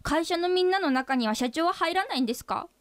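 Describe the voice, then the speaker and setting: A woman's voice: very high-pitched, expressive, brisk, no room sound. An actress playing an earnest, forthright female office employee pressing her boss in a comic radio drama. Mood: accusing, insistent.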